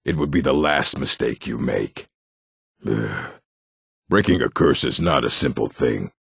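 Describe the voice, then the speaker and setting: Very deep, gravelly orc chieftain. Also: male voice, expressive, slow, no echo, exaggerated voice. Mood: scornful, stern. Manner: terse, scornful, commanding. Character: gruff, weary, proud orc chieftain, bitter and domineering. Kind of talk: fantasy video game character acting.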